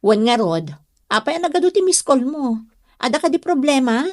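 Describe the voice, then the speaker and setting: A coy young woman with an Ilocano accent in a radio drama. Her voice sits fairly high and is recorded very dry. She is the sort of girlfriend who gets irritated easily. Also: expressive, moderate pace, no echo. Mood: evasive.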